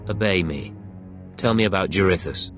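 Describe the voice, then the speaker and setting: Game imperial agent, male actor: low-pitched, terse, cool, in command, self-assured. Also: moderate pace, over music, natural voice. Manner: terse, controlled, confident. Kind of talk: video game character acting.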